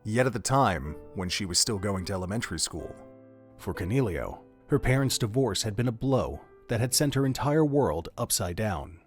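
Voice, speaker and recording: Man, scripted story narration, slightly low pitch, music behind the voice. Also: lively intonation, moderate pace. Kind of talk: scripted audio drama narration.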